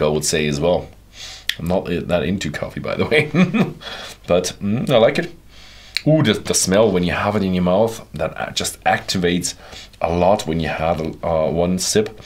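A man in his thirties casually reviewing a whisky from an armchair in a small studio, rambling with many fillers and restarts. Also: low-pitched. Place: indoor studio with whisky shelves, seated in armchair.

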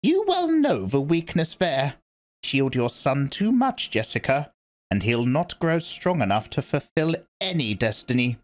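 A man reading aloud and voicing a stern, commanding old woman, a religious elder, in a sharp and lecturing manner. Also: medium pitch, big pitch swings, unhurried, voice pushed up.

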